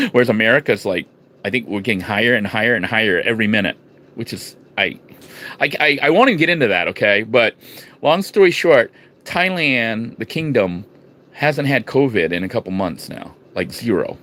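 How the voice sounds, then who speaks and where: A man from the United States talking casually to his vlog viewers, rambling with restarts and fillers and swinging between low murmurs and sudden emphatic bursts. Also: expressive, moderate pace, pushed and bright. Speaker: vlogger. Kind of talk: casual vlog talk to camera.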